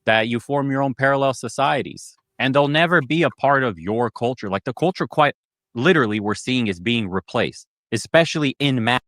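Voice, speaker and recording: A man in a played podcast clip, giving opinionated commentary in a measured, lecturing way. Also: medium pitch, a bit bright, no room sound, studio quality.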